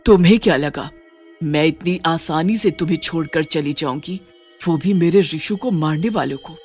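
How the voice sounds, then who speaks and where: A woman playing a vengeful, grieving widowed witch in a horror story, speaking in dramatic, drawn-out vows. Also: deep voice, a little mellow, breathy edge. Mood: menacing. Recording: music under the voice.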